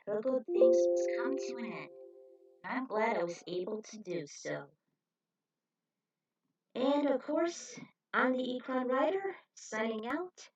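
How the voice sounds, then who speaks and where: A woman's voice: medium pitch, a little mellow, low-quality recording. Woman reading out her own fanfic, just telling the story as narrator. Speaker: fan fiction author and narrator. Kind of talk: reading own fan fiction story aloud.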